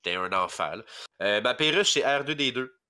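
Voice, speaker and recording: A man's voice: medium pitch, animated, a little fast, pushed and bright. A young man from rural Quebec with a Québécois accent, stuffy from a head cold, chatting casually as a podcast guest and rambling through lots of fillers. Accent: Québécois French. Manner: rambling, hesitant, with many fillers. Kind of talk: casual chat.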